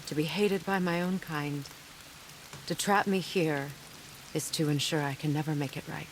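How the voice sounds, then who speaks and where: A woman playing a wise, sorrowful witch and mother in a cinematic game, gentle but firm, speaking in a measured, earnest way. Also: sits low, steady tone, slight background noise, rough recording. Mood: bitter, sad. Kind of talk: dramatic cinematic game acting. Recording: prominent music and rain behind.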